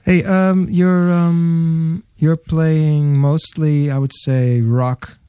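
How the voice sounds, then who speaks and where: A man's voice: fairly high pitch, little pitch movement, very slow, dark tone, clear, resonant tone, no room sound. A man hosting a casual music interview, hesitant, with many ums and restarts. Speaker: host.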